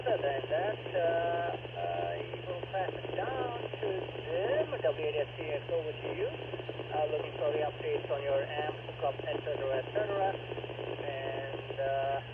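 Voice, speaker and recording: A ham radio operator passing the turn on a net, speaking hesitantly with many fillers. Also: medium pitch. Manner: hesitant, lots of uh and I guess. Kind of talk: casual radio chat. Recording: tinny ham radio with loud static.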